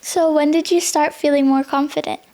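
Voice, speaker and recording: A woman hosting an interview show, reading a scripted intro and asking questions in a measured, friendly way. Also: high-pitched, fairly even intonation, darkish tone. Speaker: host.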